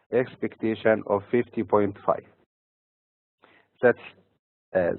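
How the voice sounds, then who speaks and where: Slow, measured man from Egypt. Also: medium pitch, dry recording. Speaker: market analyst hosting a weekly update. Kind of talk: scripted market commentary. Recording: dry, phone-like narrow sound.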